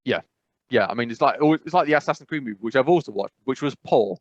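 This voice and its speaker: A man's voice: medium pitch, lively intonation, quick pace, no room sound. Rambling man, dry dull sound. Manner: rambling, self-correcting, frequent "I must be honest". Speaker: podcast co-host. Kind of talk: casual chat.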